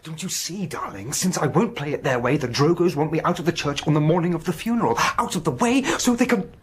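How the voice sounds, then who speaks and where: A man's voice: a little high, expressive, a little fast, slight background noise, close to an everyday voice. An actor playing an earnest, troubled English clergyman in a radio drama, with an urgent, conscientious manner.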